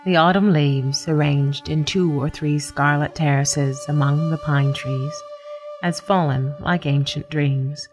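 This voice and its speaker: A woman playing a nameless, observant house cat, narrating a literary radio story in a measured, formal, deadpan way. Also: sits low. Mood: wry, reflective. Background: music.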